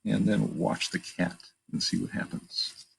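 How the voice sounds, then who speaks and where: Male, low-pitched, husky, lively intonation, children's storytime reader, chatty asides. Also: poor audio. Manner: pauses to point out pictures and ask listeners questions; animated, emphatic read-aloud delivery. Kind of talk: reading a picture book aloud with chatty asides.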